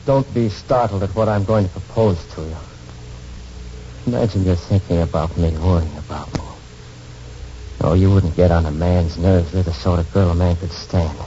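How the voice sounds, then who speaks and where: Man acting low voice, lonely scarred guy, earnest n halting, old radio drama, muffled w music. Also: steady tone, warm tone, close to an everyday voice. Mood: anxious, pleading. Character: disfigured, lonely, desperately unhappy war-casualty man, earnest and pleading.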